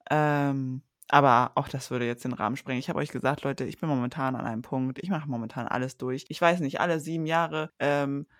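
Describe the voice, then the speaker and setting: A woman's voice: extremely low pitch, fairly even intonation, a little fast, few pauses, no echo. Podcast co-host, female, late twenties, northern German background. Chatty, loose, self-deprecating delivery, dry recording. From Schleswig-Holstein, northern Germany. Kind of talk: casual podcast chat.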